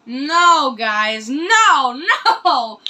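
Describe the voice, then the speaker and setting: A woman with a high, bright voice hosts a casual reaction video. She takes her time between phrases, yet comes across as excitable, exclaiming often and rambling through her commentary. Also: drawn-out words, a little hiss or hum.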